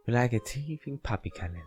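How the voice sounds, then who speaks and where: A man's voice: mid-range voice, a little mellow. A man doing a casual read-aloud of a visual novel, often stumbling and going back over lines. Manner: halting, stumbling and rereading lines. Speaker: let's player reading a visual novel aloud.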